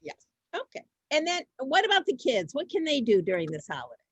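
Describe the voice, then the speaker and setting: A woman hosting an amateur theater event informally, halting and often repeating her words as she goes. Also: high-pitched, huge pitch swings, no echo. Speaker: host introducing performers.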